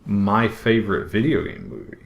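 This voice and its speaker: Man, low voice, casual podcast co-host, conversational, roomy echoing sound. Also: very animated, normal speed, vocal fry. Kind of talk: casual podcast chat about films and games. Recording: roomy, echoey room sound.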